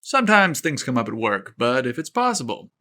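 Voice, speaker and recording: A man voicing a confident, charming male golfer who speaks in a relaxed, friendly and slightly flirtatious way. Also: medium pitch, brisk.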